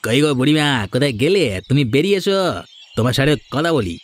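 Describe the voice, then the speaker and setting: A man's voice: a little high, lively intonation, fast, close to an everyday voice. A man voicing a chatty, slightly defensive village man in a cartoon. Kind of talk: Bengali cartoon voice acting.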